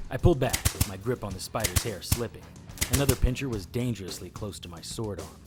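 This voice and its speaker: A man's voice: lowish voice, lightly acted. An actor playing a tough, wisecracking monster hunter, narrating his own story in a dry noir style for an audio drama. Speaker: lead actor narrating in first person. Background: music bed under the voice.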